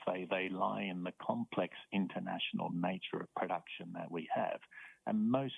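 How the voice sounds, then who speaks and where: A man with an Australian accent, a university professor giving measured explanations as a guest in a radio interview, often repeating words as he starts a point. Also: low-pitched, vocal fry, slightly rough, phone-quality sound.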